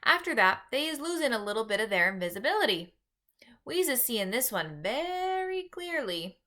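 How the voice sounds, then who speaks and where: A woman voicing an earnest, easily exasperated gentle male giant in a children's storybook, speaking emphatically in folksy broken grammar with invented words. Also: on the high side, big pitch swings, moderate pace, pitched above the performer's own voice. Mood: explaining. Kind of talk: read-aloud children's storybook.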